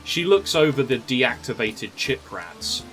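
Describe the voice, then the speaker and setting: Male narrator for a fantasy story, highly varied pitch, music clearly behind him. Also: very animated, a little slow, a bit bright. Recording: music under the voice.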